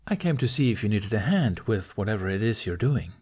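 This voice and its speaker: A man's voice: on the low side, big pitch swings, brisk, darkish tone, breathy edge. A man voicing a cheeky, skinny, laid-back boy who talks in a casual, teasing drawl, in an audio story.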